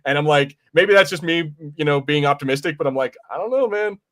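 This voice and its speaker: A man co-hosting a casual film review podcast, rambling like an excited fan and swearing freely. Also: a little high, fairly quick. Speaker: podcast co-host.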